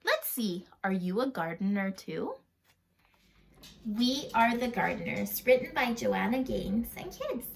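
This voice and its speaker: A woman with a fairly high voice and a noticeable creak reads a picture book to small children at a slow, careful pace. She is expressive, pausing to put questions to her young listeners and sometimes slipping into a whisper. There is faint noise behind her in a home recording. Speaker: read-aloud host for young children. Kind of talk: animated children's storybook read-aloud with chat to the listeners.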